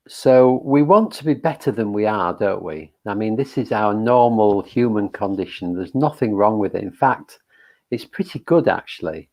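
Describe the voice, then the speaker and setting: A man with a very deep, low voice leads a meditation session with a reflective Buddhist talk. He speaks slowly and gently, thinking aloud as he goes, with frequent pauses and fillers. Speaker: meditation leader.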